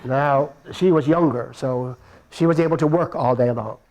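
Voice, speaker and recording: A man's voice: mid-range voice, moderate pace, heavy background noise. A man telling a folktale live on stage, playful and repeating his phrases for effect. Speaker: storyteller. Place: stage before a live audience.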